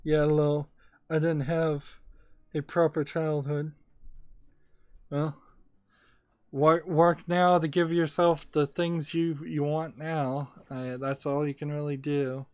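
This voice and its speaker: A man's voice: on the high side, little pitch movement, slow, pauses often, a little mellow, clean tone, no echo, studio quality. A young man streaming a card game, rambling through opinions and reading chat messages aloud. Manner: rambling, opinionated, many restarts and filler. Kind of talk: casual commentary while gaming, reading chat.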